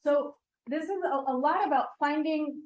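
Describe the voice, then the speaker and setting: High-voiced woman leading a class workshop. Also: normal speed, darkish tone, low-quality recording. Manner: engaging, asks the group questions and repeats their answers. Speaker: workshop presenter. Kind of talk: interactive teaching workshop.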